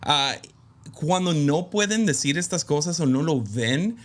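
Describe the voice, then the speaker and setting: A man's voice: on the high side, expressive, unhurried. A man speaking as a guest in an interview, reflective and candid, with many hesitant fillers. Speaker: guest, pastor's son and preacher. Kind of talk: conversational interview.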